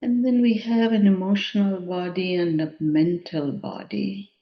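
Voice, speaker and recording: A woman leading a guided meditation in a measured, contemplative manner, leaving long pauses between phrases. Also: medium pitch, fairly even intonation. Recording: dull, muffled lo-fi sound.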